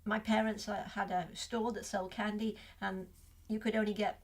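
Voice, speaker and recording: A woman's voice: medium pitch, little pitch movement, moderate pace. An older woman with a Liverpool accent, a guest in a casual interview, talking reflectively with many restarts. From Liverpool, England.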